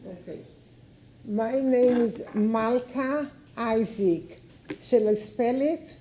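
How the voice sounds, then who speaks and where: An older woman from Israel with an Israeli accent, speaking slowly and carefully during public comment at a city meeting. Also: fairly high pitch, crowd noise. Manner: slow and careful, spells her name letter by letter.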